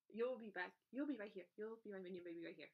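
A woman of about twenty chatting excitedly while dyeing eggs, squealing and laughing often, recorded on a camera mic in a small bedroom. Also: medium pitch, quick pace, highs cut off. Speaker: co-host, college student.

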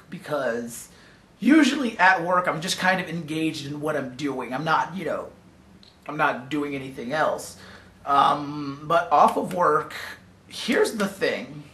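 A young man who has lost his voice, talking hoarsely and raspily in a casual video diary from a hotel room. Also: a little high, a bit bright, roomy sound. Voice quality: raspy. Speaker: vlogger. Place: dim hotel room, indoors.

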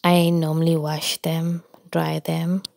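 A woman from India talks in a car as she drives to the shops, unhurried and soft-spoken, pausing often on ums and uhs. Her voice sits a little low and sounds gravelly and mellow. The sound is close and dry, with little room echo. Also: completely flat delivery. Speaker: vlogger. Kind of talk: casual narration over driving footage. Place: inside a car.

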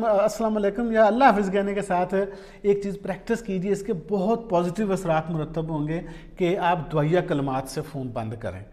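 A man's voice: high-pitched, a little mellow. A man in his forties with a Pakistani accent gives informal advice to camera in a conversational, explanatory way, mixing in English words. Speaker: vlogger, journalist and columnist. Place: small quiet room indoors, at a desk.

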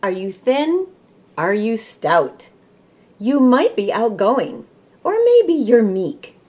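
Casting: middle-aged woman, American Midwestern accent, storybook reader with unhurried pace and animated intonation. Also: a little high. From Michigan, United States. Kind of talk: children's read-aloud storytelling. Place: small room at home, indoors.

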